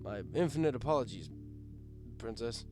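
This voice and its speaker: A man's voice: mid-range voice, expressive, normal speed, warm tone, faint music behind, natural voice. A man voicing a loyal, apologetic pony guard captain in a story reading, formal and deferential. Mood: apologetic, drunk.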